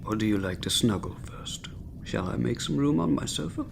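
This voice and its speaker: An actor playing an arrogant, sardonic middle-aged chief medical officer who drinks, with pompous, biting wit, in a sci-fi comedy audio drama. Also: male voice, medium pitch, expressive, normal speed, vocal fry, background noise. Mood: dryly teasing. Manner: biting, droll sarcasm with pompous wording.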